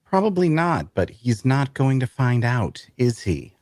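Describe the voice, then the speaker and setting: Man acting a slow, guarded loner traveler. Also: mid-range voice, big pitch swings, darkish tone, dry recording. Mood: evasive, dry. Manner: dry, curt, hesitant. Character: guarded, grumpy, evasive loner male traveler. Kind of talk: dark fantasy audio drama table read.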